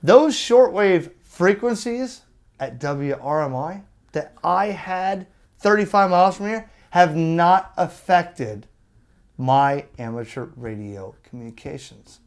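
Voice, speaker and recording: A man giving an opinionated rant as a video host, emphatic and argumentative, with rhetorical questions and pauses for effect. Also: a little high, animated. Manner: exasperated, argumentative. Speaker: amateur radio YouTube host.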